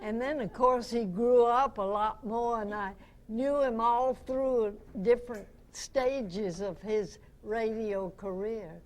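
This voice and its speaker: A woman's voice: on the high side, fairly even intonation, takes it slowly, a little mellow. A woman in her nineties, a veteran radio actress, reminiscing as a guest speaker in an anecdotal way. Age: 90s. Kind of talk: unscripted reminiscing to a club audience. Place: club meeting room, in front of an audience.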